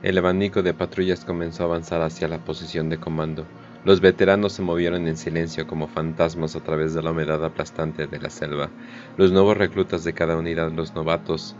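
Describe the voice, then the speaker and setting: A man's voice: very deep voice, flat delivery, brisk, highs cut off, faint music behind. A man narrating a scripted war story. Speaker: audiodrama narrator.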